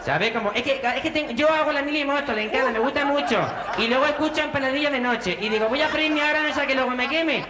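Brief: an actor as a fast, rambling, muddled housewife caller, echoey noisy recording. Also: high-pitched, close to an everyday voice. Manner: rambling, repeats and mixes up her own words. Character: rambling confused woman caller, middle-aged housewife. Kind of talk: comedy sketch phone call.

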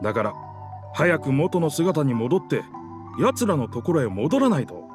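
A man's voice: on the high side, big pitch swings, unhurried, over music. A man voicing a proud talking pirate ship in a video game, with boastful, theatrical, old-fashioned speech. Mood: earnest, wistful. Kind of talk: Japanese video game character dialogue.

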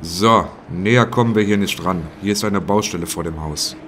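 A man's voice: low voice, moderate pace, fairly bright tone, slight background noise. A man playing a grumpy, sarcastic little police inspector with a bossy manner in a parody detective audio play.

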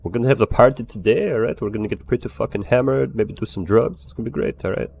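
A man's voice: medium pitch, fast, creaky, darkish tone, lightly acted. A man voicing a breezy, boastful traveling bar owner in an improvised video game roleplay, rambling and swearing freely. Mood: awkward, hearty. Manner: rambling, profane. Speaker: roleplay player and narrator. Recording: noisy game audio, ambient background sound. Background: game world ambience.

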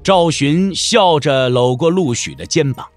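A man's voice: fairly high pitch, expressive, measured pace, soft background music. A man narrating a wuxia audio drama as a dramatic scripted storyteller.

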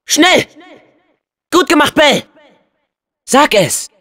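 A brave teenage boy hero from a video game, earnest and eager. The voice is youthful and very animated, swinging widely in pitch. The words come at an unhurried pace, each delivered with open, excitable feeling. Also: exaggerated voice. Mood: excited, urgent. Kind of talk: video game character dubbing.

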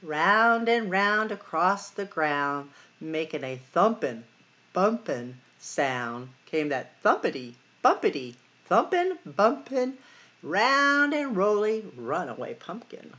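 Female storytime host, middle-aged. Unhurried, very lively intonation, dramatic sing-song delivery for young listeners. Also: on the low side, muffled recording. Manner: sing-song, dramatic emphasis and drawn-out words. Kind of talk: expressive picture-book read-aloud for children.

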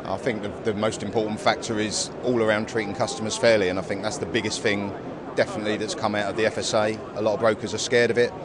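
A middle-aged man, an insurance broker, giving a brisk, matter-of-fact vox pop answer at a busy exhibition hall. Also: on the low side, little pitch movement. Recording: background hall noise.

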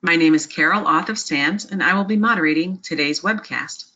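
Bright-voiced woman moderating a webinar. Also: fairly low pitch, brisk. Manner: even, read-from-script delivery. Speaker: webcast moderator. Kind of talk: scripted webinar introduction. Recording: dull lo-fi webinar audio.

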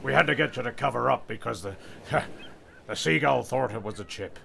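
A man's voice: mid-range voice, brisk, creaky, pushed and bright, slight background noise. A man playing a wry, exasperated comedy narrator, sweary and stumbling through his script. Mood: teasing. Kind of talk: comic scripted narration with ad-libs.

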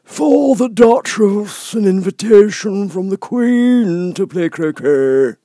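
High, pompous creature footman. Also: expressive, warm tone, dry recording, heavily acted. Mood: solemn. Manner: slow, ceremonious proclaiming. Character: solemn, pompous fish footman and his frog echo.

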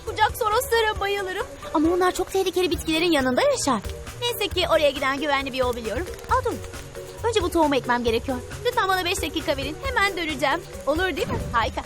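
A woman's voice: very high voice, big pitch swings, fast talker. A woman voicing a clever, cautious cartoon cave girl who likes to say I told you so, in a dub. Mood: bossy. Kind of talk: cartoon dubbing. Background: background music.

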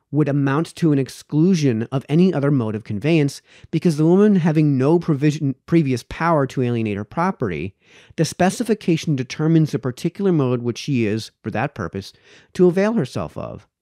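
A man in his thirties reading an old essay aloud in a careful, measured way, stumbling now and then, close to the microphone. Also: medium pitch, dark tone, no room sound. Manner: careful, measured, stumbles over words and rereads them. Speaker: reader, host of a read-aloud series. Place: small indoor home study. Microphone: close microphone on a boom arm.

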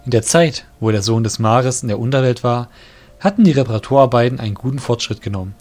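A man's voice: medium pitch, quick pace. A man reading scripted narration for a fantasy audio drama. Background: soft music.

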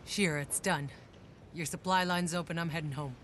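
A woman's voice: low-pitched, fairly even intonation, normal speed, background noise, close to an everyday voice. A woman playing a tough, cynical young soldier in a video game, with a blunt, wry delivery. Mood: wry, weary. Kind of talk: naturalistic video game cutscene acting. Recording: long reverb, music behind.

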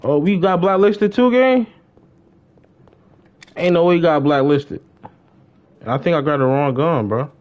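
A man's voice: a little high, a little slow, long pauses, dull audio. A young man chatting casually on a gaming livestream in slangy talk, often repeating his phrases. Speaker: live streamer.

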